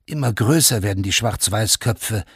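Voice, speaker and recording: A man's voice: lowish voice, normal speed, slightly rough, dry recording, clean studio sound. A man narrating a scripted radio drama in a sober, factual manner, in short plain statements.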